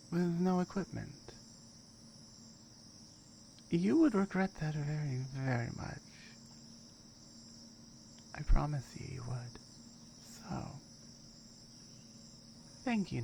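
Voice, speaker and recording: A woman voicing a firm, dominant tiger girl guarding her jungle home in a menacing, deliberate ASMR roleplay. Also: low-pitched, expressive, heavily acted. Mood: calm, firm. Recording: clear background noise with chirping crickets.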